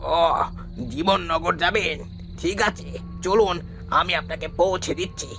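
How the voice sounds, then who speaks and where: Male actor as old ghost carter, cartoon; bright, slightly high voice; slow, quiet menace. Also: faint music behind, exaggerated voice. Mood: ominous. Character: eerie old male cart driver ghost, calm and knowing. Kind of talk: cartoon voice acting.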